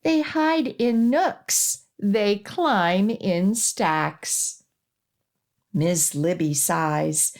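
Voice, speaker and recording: A woman children's librarian reading a rhyming picture book aloud for young children, with expressive, measured emphasis on the rhymes. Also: medium pitch. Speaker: children's librarian and storytime host.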